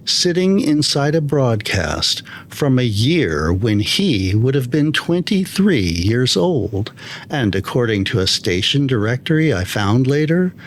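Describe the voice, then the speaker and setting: Slow, expressive male horror story narrator. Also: medium pitch, a little hiss or hum. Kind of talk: scripted first-person story narration. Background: music.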